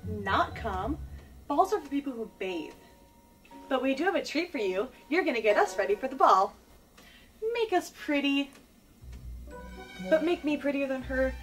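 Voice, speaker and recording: Catty, high-voiced wicked fairy-tale stepsister. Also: female voice, animated, normal speed, long pauses, recorded from a distance, faint music behind. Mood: catty, preening. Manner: exaggerated mock-sweet and mean. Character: catty, preening wicked stepfamily women of Cinderella. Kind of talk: broad comic stage fairy-tale acting.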